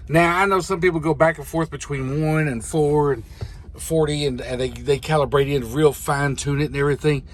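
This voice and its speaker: A man's voice: on the high side, steady tone, brisk. A middle-aged American man, a truck driver, giving a casual hands-on tutorial in a plain, folksy way. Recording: clearly noisy vehicle cab.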